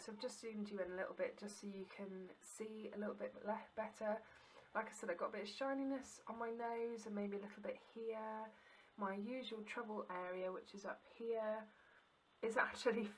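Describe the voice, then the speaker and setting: A woman's voice: mid-range voice, a little hiss or hum, low-quality recording. A woman vlogger giving a casual, chatty and understated makeup review to the camera in an informal way.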